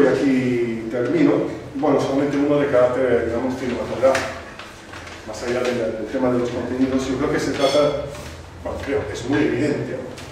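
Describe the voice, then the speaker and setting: A man from Sardinia, presenting a film to a cinema club audience, thoughtful and full of pauses and restarts. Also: mid-range voice. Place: cinema club screening room. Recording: echoey room, distant mic, noisy.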